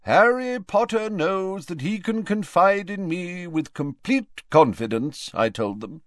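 A pompous gentleman ghost from an audiobook, acted out by a man, pitched high and very animated. He speaks in formal, self-important phrases and is easily affronted. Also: unhurried, no room sound, studio quality. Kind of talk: audiobook character voice.

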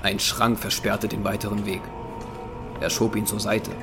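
Low-voiced male horror narrator. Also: flat delivery, moderate pace, creaky, music in the background. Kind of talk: scripted narration of a horror audio drama.

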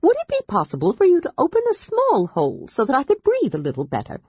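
Lady putting on a high squeaky kender guy, eager and chattering, sort of husky and muffled. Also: wildly swooping pitch, fast, a little mellow, no room sound, full character voice. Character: excitable chatty young male kender.

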